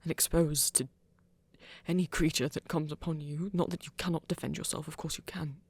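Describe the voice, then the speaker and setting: A woman playing a flirty, tender vampire in an intimate ASMR romance, speaking gently and haltingly in a breathy half-whisper. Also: deep voice, steady tone, no echo. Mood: nervous, adoring. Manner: halting, gentle, careful phrasing. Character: flirty, tender vampire shyly confessing love to a hunter.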